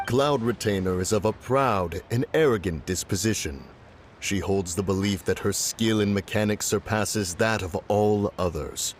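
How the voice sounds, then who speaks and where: A man's voice: lowish voice, moderate pace, a little hiss or hum, lightly acted. A man voicing an ancient, solemn adeptus in human form, speaking formally in archaic phrasing. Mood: composed. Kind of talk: game voice acting.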